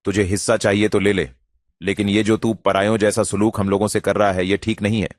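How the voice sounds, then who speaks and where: A man plays a worried shopkeeper father in a cartoon, an earnest elder brother. The voice sits low and sounds dry and close. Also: level tone, fairly quick, no echo, lightly acted. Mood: reproachful. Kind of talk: cartoon voice acting.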